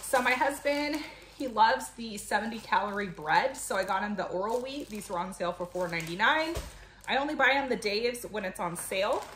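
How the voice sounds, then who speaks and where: A woman's voice: mid-range voice, expressive, brisk, fairly bright tone. A woman in her thirties chatting casually and explaining things to camera as a home vlogger. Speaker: vlogger, mother showing a grocery haul. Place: home kitchen, indoors. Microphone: camera a few feet away. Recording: echoey kitchen, noisy, clicks and rustling.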